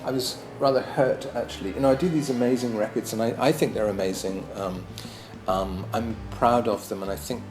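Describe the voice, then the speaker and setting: A man's voice: on the low side, steady tone, fairly quick, roomy sound, over music, rough recording. A man lecturing on his own album in a casual, musing way, hesitant, with many ums and restarts.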